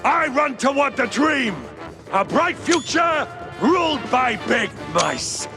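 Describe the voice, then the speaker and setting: A man's voice: very high-pitched, very animated, slow, over music, full character voice. A man playing a gloating, melodramatic, hot-tempered villain in a cartoon video game. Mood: defiant. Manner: melodramatic, taunting. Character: gloating, theatrical, hot-tempered male villain with grand dreams.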